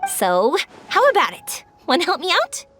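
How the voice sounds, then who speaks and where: A woman's voice: high voice, huge pitch swings, moderate pace, fairly bright tone, soft background music, full character voice. An actress voicing a cheerful, kindhearted young woman in a video game, with youthful, earnest and reassuring delivery.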